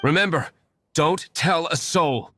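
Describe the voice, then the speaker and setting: A man's voice: a little high, takes it slowly, fairly bright tone, breathy edge, no room sound, soft background music, exaggerated voice. An actor playing an aloof, brooding young nobleman in a video game, with a cool, formal and curt delivery. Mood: dismissive.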